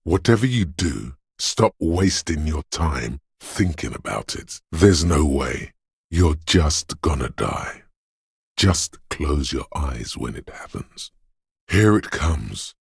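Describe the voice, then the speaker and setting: An actor voicing a video game character, a paranoid, aggressive inner voice of fight-or-flight instinct, speaking in an ominous, raspy, urging way. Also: male voice, expressive, no room sound, clean studio sound, heavily acted. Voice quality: very deep, gravelly, raspy. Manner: slow, deliberate, ominous urging.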